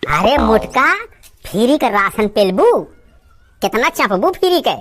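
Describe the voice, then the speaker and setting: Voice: mid-range voice, sing-song, measured pace, fairly bright tone, soft background music. A performer voicing a cantankerous, lazy village uncle in an Awadhi comedy cartoon, scolding and rambling with stubborn, abusive bluster. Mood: angry, threatening. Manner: blustering, scolding, rambling rants full of abuse.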